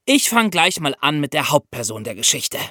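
A man's voice: a little high, big pitch swings, moderate pace. A man hosting a history podcast, telling a scripted story in an explanatory way and addressing listeners directly. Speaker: podcast host.

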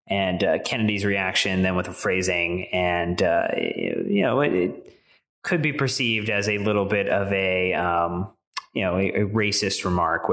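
A man's voice: low-pitched, fairly bright tone. A man co-hosting a football fan podcast, talking casually with many fillers and restarts. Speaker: podcast co-host.

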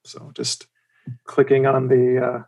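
A man with a general American accent, chatting casually as a podcast guest in a hesitant, halting way. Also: mid-range voice, expressive, darkish tone, rough recording. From United States and Germany. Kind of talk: casual chat.

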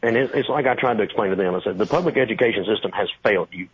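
A man's voice: fairly low pitch, racing, husky, dry recording. A man in his twenties calling in to a radio show, a teacher talking casually with lots of fillers. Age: 20s. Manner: casual, rambling, many fillers. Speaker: caller, high school teacher. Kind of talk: phone-in conversation. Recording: tinny phone line.

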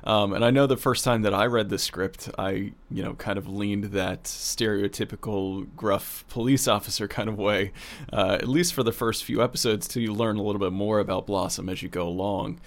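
A man's voice: fairly low pitch, steady tone, moderate pace. A man talking casually as a producer interviewing a colleague in a behind-the-scenes conversation.

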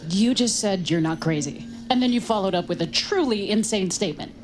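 An actress playing a wary, grieving widow in an audio drama, blunt and sardonic in naturalistic delivery. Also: female voice, mid-range voice, lively intonation, a little fast, soft background music, lightly acted. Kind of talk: naturalistic audio drama acting and first-person narration.

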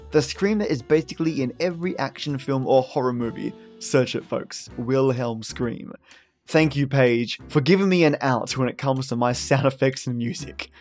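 A man hosts a podcast in a slightly raised voice. He is chatty and matey, delivering scripted remarks with playful exaggeration. Guitar music runs behind him. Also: a little high. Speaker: podcast host.

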